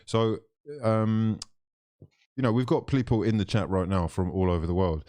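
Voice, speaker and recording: A man's voice: lowish voice, moderate pace, mellow, dry recording, clean studio sound. A man hosting a casual football live stream, chatting loosely and reading viewer comments aloud.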